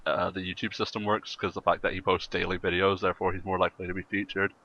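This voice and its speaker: A man's voice: sits low, level tone, fast, pushed and bright, highs cut off, slight background noise. A man hosting a casual gaming podcast, joking and sweary, often laughing as he talks. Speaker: podcast host.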